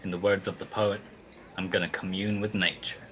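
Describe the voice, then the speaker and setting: Man playing a deep, wisecracking hardboiled detective. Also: flat delivery, fairly quick, a bit bright, slight background noise. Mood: playful teasing. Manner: slangy, wry, clipped tough talk. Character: wisecracking hardboiled male private detective narrating his cases. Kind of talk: 1950s radio detective drama acting.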